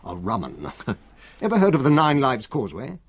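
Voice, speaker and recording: Avuncular, husky old British solicitor. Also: male voice, medium pitch, wildly swooping pitch, normal speed, full character voice. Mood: musing, wry. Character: avuncular older male solicitor, head of the firm, rambling. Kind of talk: radio drama acting.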